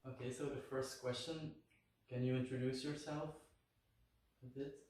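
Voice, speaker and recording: Man hosting, medium-pitched voice, upbeat, echoey room, off-mic. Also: steady tone, normal speed, darkish tone, distant mic. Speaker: channel host. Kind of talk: casual interview hosting.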